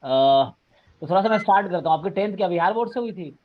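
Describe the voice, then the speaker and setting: A man from Bihar interviewing a former student on a video call, talking in a lively, explaining way and mixing in English words. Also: sits high, fast, narrow, tinny audio, a little hiss or hum. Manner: animated, explains in a mix of Hindi and English. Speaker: interviewer and coaching teacher. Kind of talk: casual conversational interview.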